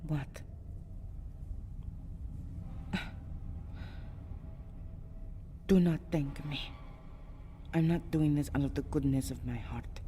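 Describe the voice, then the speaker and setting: A woman playing a proud, guarded, seductive vampire in an ASMR roleplay, speaking in a breathy, often whispered way with formal, haughty phrasing. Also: sits low, normal speed, warm tone, slight background noise, natural voice. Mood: haughty, grudging. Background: ambient background sound.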